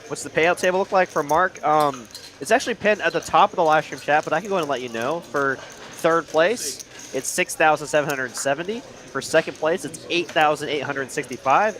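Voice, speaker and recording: A man's voice: a little high, a bit bright. A young man hosting a live poker stream, upbeat, thanking viewers and reading the chat aloud. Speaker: livestream host and poker commentator.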